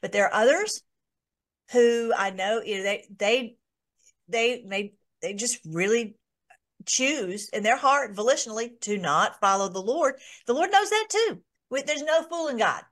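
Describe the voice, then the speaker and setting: A woman's voice: a little high, animated, unhurried, fairly bright tone. A woman hosting an informal online Bible study, talking in a rambling way with many restarts and fillers.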